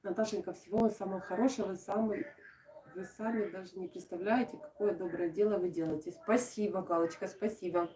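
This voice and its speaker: A chatty woman from Odesa speaks with a soft southern Ukrainian g in her Russian. She hosts a relaxed live stream and answers her viewers like old friends. Her voice is mid-pitched and sounds roomy, recorded at some distance from the mic. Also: a little fast. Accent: southern Ukrainian Russian, soft g and "sho". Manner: chatty and warm toward viewers, with frequent laughs and fillers. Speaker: host of a craft live stream. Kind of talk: casual live-stream chat, reading out viewer comments.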